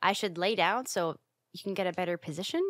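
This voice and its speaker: A woman playing a bashful, secretly affectionate young tsundere roommate in an ASMR roleplay, speaking in a halting, flustered way with sighs. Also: lowish voice, dry recording, lightly acted.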